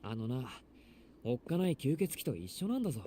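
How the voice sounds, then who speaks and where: A man's voice: mid-range voice, sing-song, racing, crowd noise, lightly acted. An actor playing a blunt, exasperated young man in a visual novel, talking in a casual, teasing way. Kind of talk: Japanese visual novel voice acting.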